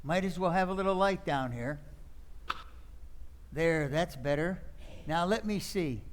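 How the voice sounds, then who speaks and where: High-pitched male voice, exasperated agitated nephew, radio play, acted by a man. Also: a little slow, close to an everyday voice. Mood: wary muttering. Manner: agitated, incredulous. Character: flustered, exasperated nephew of two batty aunts.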